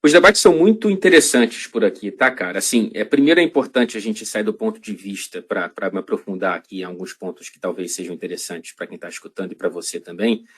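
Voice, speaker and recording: A man's voice: lowish voice, animated, a bit bright, slightly rough. Reflective Brazilian man telling a story. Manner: reflective, halting, many restarts and fillers. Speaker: guest journalist. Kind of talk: podcast interview storytelling.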